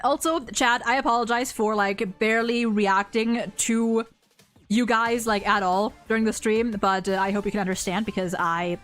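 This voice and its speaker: A woman hosting a livestream, chatting casually and excitably and swearing freely. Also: a little high. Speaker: streamer host.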